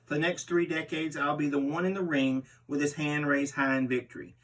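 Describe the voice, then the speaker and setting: A man's voice: a little high, level tone, fairly quick, fairly bright tone, close to an everyday voice. A man reading aloud as a brutal, boastful barbarian pro wrestler in a menacing promo style. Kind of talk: interview read aloud as a wrestler. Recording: distant microphone.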